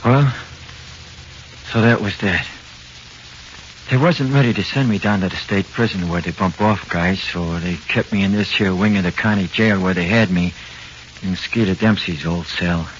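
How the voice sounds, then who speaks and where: A man's voice: low-pitched, moderate pace, lightly acted. An actor playing a haunted, nervy small-time crook who talks in plain, ungrammatical tough-guy slang, in 1940s radio drama. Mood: haunted recounting. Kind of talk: 1940s radio drama acting, first-person narration. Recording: muffled, noisy 1940s radio recording.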